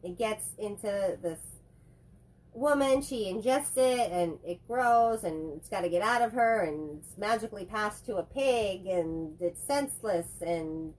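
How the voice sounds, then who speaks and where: A woman's voice: medium pitch, expressive, a little mellow, poor audio. A woman with a head cold co-hosting a casual chat about movies, rambling and joking as she talks. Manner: rambling, chatty, quick to joke. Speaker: co-host.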